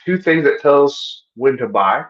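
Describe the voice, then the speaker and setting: A man hosting a podcast, answering in a halting, stop-start way. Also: medium pitch, lively intonation, a bit bright. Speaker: host. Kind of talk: casual podcast chat. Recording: roomy, dull remote audio.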